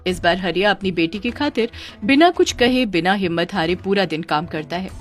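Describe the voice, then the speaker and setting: A woman's voice: lowish voice, moderate pace, soft background music. A woman narrating a scripted children's story as a storyteller.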